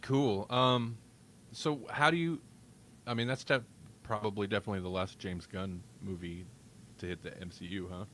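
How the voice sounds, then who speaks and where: A man's voice: lowish voice, steady tone, moderate pace, a bit bright, a little hiss or hum. A man hosting a podcast in casual chat, talking in a rambling way with self-interrupting asides. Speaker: podcast host.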